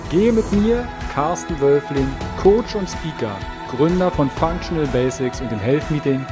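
A man's voice: fairly high pitch, lively intonation, measured pace, over music. A man hosting a health podcast, reading an upbeat promotional intro and then interviewing a guest. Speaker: podcast host and health coach.

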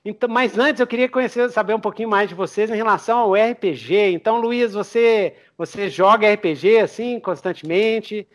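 Male Brazilian podcast host, high voice, informal and slangy, conversational interview style. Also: fairly quick, hardly any pauses. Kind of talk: casual chat interview.

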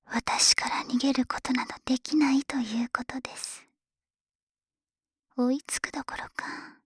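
A woman plays a dragon maid in an ASMR situation drama, with a high voice and an unhurried pace. The maid is polite and coddling, yet teasingly domineering toward a smaller young master. The sound is close and very dry. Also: slightly rough, no room sound, exaggerated voice. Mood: whispered, dominant. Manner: polite servile speech, gently teasing and coddling. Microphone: close microphone, dry.

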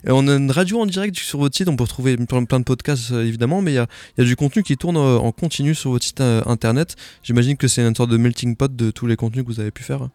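A man's voice: mid-range voice, fast, hardly any pauses, a little mellow, dry recording. A man hosting a live radio interview in a studio, casual and informal. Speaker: radio host.

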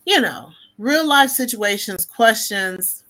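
A woman's voice: on the high side, lively intonation, takes it slowly, pushed and bright, low-quality recording. A woman hosting a podcast, chatty and laughing often in casual conversation. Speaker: podcast host. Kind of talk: casual chat.

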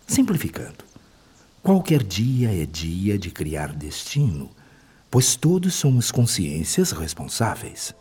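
An actor playing a wise, solemn male spirit guide who teaches in measured phrases in a radio drama. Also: on the low side, wildly swooping pitch, darkish tone, full character voice. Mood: solemn, instructive. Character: wise, instructive male spirit guide explaining moral laws. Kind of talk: radio drama acting, didactic.

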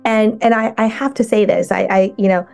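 A woman speaking as a guest coach in a casual interview, talking in halting bursts with many restarts. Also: mid-range voice, steady tone, creaky, darkish tone, soft background music.

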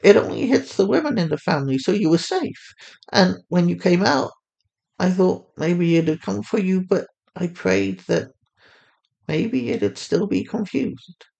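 A man's voice: high voice, lively intonation, a little slow, gravelly, a little mellow, highs cut off, pitched above the performer's own voice, heavily acted. A man voicing a loving, sorrowful mother in a story, speaking in a tender, soothing way. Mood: remorseful, soothing. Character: gentle, loving, sorrowful mother of a teenage girl.

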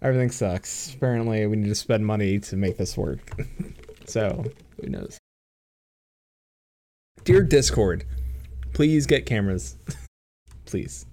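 A man's voice: fairly low pitch, animated, takes it slowly, long pauses, warm tone. A young man co-hosting a casual gaming podcast over a voice call. He giggles a lot, swears freely and uses many fillers. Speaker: podcast co-host. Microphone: computer mic on voice call.

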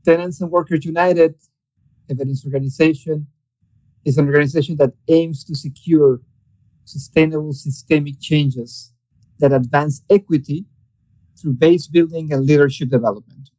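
A man's voice: on the high side, steady tone, normal speed, mellow, no room sound, poor audio. Formal male series host, dry sound. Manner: formal, reads a prepared introduction. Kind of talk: hosting an interview series.

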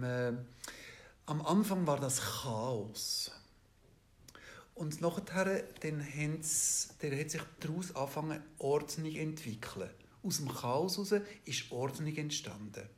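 Swiss german guy, kinda high voice, lots of umms and restarts, explaining stuff to an interviewer. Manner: hesitant, halting, many fillers and restarts; ends points with a questioning "oder?". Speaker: interview guest.

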